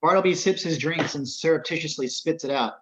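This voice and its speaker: A man's voice: a little high, brisk, slight background noise. A man reading out screenplay stage directions as narrator at a table read, on a slightly dull home recording.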